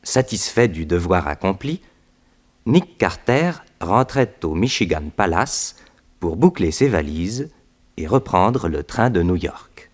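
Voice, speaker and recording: A man's voice: low voice, big pitch swings, slow. A man narrating a 1960s French radio drama from a script.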